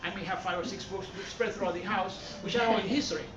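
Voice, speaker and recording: A man's voice: high-pitched, quick pace. Puerto Rican man lecturing in an echoey gallery. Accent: Puerto Rican Spanish. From Puerto Rico. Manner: rambling, self-correcting, with many fillers. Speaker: art history presenter. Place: art gallery hall with an audience. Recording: far-off echoey room mic, dull and noisy.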